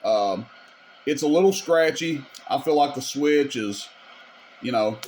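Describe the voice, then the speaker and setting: A man with an average-pitched voice walks through a piece of gear like a video host. His delivery is informal and folksy, explaining each step casually as he goes. The camera microphone picks up clear noise and ambience behind him. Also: lively intonation, unhurried. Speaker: radio repair video host demonstrating gear. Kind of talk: casual hands-on product demonstration.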